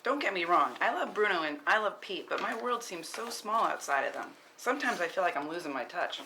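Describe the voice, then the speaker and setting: A woman's voice: fairly low pitch, lively intonation, fairly quick, bright, forceful tone. A woman playing an anxious, angry, sweary working mother at a film script table read, in a noisy live recording. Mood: weary, wistful. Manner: tense, exasperated, sweary. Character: frantic, foul-mouthed working mother and wife, angry, anxious.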